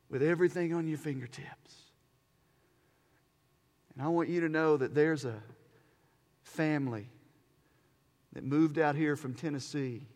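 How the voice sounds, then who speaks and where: A male pastor giving an earnest, emphatic sermon, repeating phrases for effect and moving between hushed and loud, rousing delivery. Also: mid-range voice. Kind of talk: sermon to a church congregation. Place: large reverberant church hall. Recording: long hall reverb.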